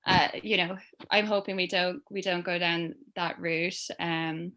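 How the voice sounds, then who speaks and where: A woman's voice: lowish voice, fairly even intonation, a little slow, pushed and bright. An Irish woman talking as a creative guest on a video-call panel, chatty and loose, with lots of fillers. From Ireland. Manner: chatty, rambling with many fillers.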